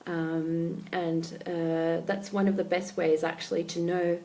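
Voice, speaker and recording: Low-voiced Australian woman, halting. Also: little pitch movement, warm tone, low-quality recording. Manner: hesitant, many ums and restarts. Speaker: life coach. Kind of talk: live-streamed casual talk to followers.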